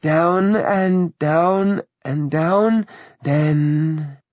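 A man's voice: high voice, very animated, moderate pace, vocal fry, no echo, muffled recording, pitched above the performer's own voice, heavily acted. A man voicing a small dead girl in an audiobook, eerie and childlike, drawing out words. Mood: eerie, singsong.

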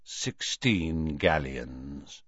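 A man's voice: very deep voice, expressive, extremely slow, fairly bright tone, breathy edge, no echo, highs cut off, voice pushed down, exaggerated voice. Man doing an oily, cold, suspicious shopkeeper. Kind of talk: audiobook character voice.

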